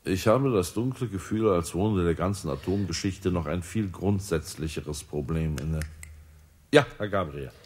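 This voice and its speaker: A man's voice: deep voice, moderate pace, breathy edge. An actor playing the stern, formal chairman of a heavenly conference in a radio drama. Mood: grave. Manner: grave, formal, commanding.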